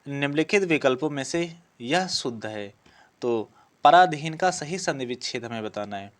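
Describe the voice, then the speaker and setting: A male teacher with a medium-pitched voice works through exam practice questions. He explains as he goes, posing each question and then answering it himself. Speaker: Hindi grammar teacher for police exam prep. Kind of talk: exam lesson, reading and solving practice questions.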